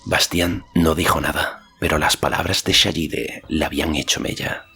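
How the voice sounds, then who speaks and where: A man's voice: very deep voice, steady tone, moderate pace, pushed and bright, breathy edge, faint music behind. A man reading a fantasy novel aloud as scripted literary narration. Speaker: audiobook narrator.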